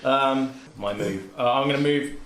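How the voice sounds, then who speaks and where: A man's voice: mid-range voice, measured pace, fairly bright tone. Wry man in a roomy, faintly noisy recording. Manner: dry, wry. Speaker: player in a tabletop role-playing game. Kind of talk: casual game chat. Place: echoey indoor room. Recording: echoey room, faint noise and clicks.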